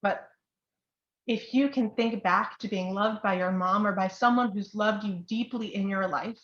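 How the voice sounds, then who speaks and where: A woman's voice: medium pitch, a little slow. A young woman giving an informal, heartfelt sermon as a church speaker, with an earnest, affectionate manner. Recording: roomy echo, dull lo-fi sound.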